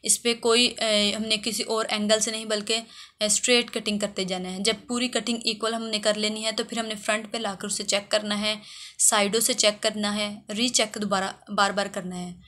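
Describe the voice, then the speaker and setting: Female hairdresser, tutorial voice-over. Bright, forceful tone, mid pitch, repetitive step-by-step explaining. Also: flat delivery, normal speed. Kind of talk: instructional voice-over for a how-to video.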